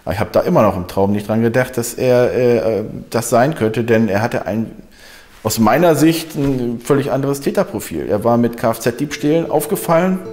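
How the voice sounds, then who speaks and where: A man's voice: medium pitch, expressive, normal speed, darkish tone. A man in his forties, a lawyer, recollecting in a documentary interview indoors. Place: dim indoor room, interview setup.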